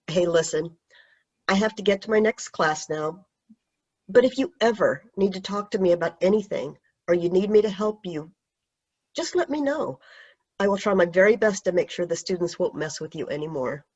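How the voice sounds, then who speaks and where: Husky woman reading a gentle teacher role. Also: fairly low pitch, expressive, normal speed. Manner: gentle, reassuring. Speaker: teacher character in a staged play reading. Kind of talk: staged play reading over video call. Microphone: video call. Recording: husky voice on dull lo-fi video call audio.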